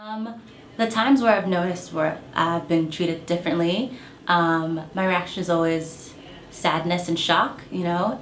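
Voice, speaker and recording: A young woman from Kenya answers interview questions in a low voice with a gravelly edge, thinking aloud through frequent ums. Her words ring in an echoey room with a faint hiss behind her. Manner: thoughtful, measured, with frequent um and uh.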